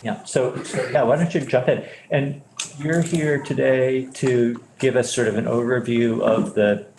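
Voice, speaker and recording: A man's voice: mid-range voice, steady tone, measured pace. A man chairing a legislative committee who speaks in an easygoing, hesitant way with frequent "uh"s. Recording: echoey hearing room with faint hiss.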